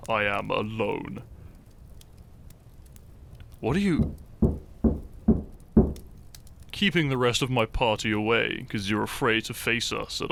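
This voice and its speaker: A man playing an earnest, shy young hero in a roleplay audio drama, speaking to the listener in a halting, theatrical way. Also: on the low side, gravelly, fairly bright tone, slight background noise. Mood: defiant. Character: shy young hero, earnest, secretly fond of his foe.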